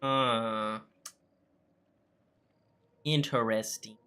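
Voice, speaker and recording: A woman's voice: extremely low pitch, steady tone, extremely slow, a bit bright, clear, resonant tone. A woman giving casual commentary while playing a video game. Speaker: gamer, channel host. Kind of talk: casual let's-play commentary. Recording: game music behind.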